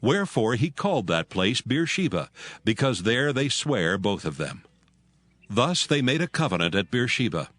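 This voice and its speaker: A man narrating a dramatized scripture reading in an even, measured way. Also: medium pitch, no room sound. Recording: music bed behind.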